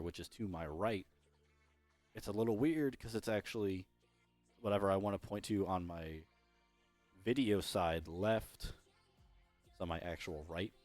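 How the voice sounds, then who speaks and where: A young man casting an esports match alone, chatty and rambling, with his voice going hoarse. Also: sits low, no echo. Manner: rambling, self-correcting, chatty. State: losing his voice. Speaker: esports caster. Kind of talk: solo play-by-play commentary.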